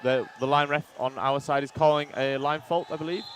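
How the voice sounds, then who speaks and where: A man with a loud voice doing colour commentary on a headset in a commentary box, chiming in with quick agreement and reading out viewer comments. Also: on the high side, fairly even intonation, noisy background. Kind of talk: live sports commentary. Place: commentary box beside an indoor court.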